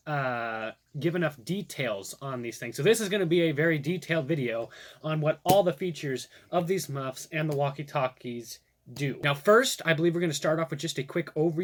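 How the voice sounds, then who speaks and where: A man giving a casual product review to camera, chatting and thinking aloud as he demonstrates. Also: a little high.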